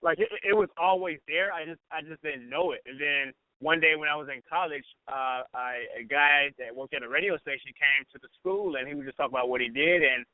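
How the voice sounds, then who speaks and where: A man's voice: fairly high pitch, a little fast, hardly any pauses, phone-quality sound. A man talking casually as an interview guest, informal and repetitive, often saying "you know" and "man". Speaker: radio personality, interview guest. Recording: band-limited radio phone-in sound.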